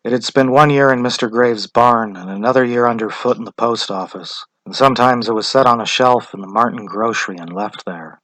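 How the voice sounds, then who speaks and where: A man narrating a short story as a dramatic reading, measured and deliberate. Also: lowish voice, fairly bright tone, slightly rough, low-quality recording.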